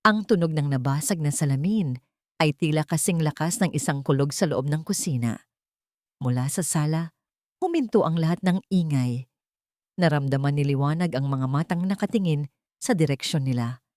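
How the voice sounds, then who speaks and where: A woman's voice: low voice, dark tone, dry recording, clean studio sound. A Filipino woman delivering scripted dramatic story narration.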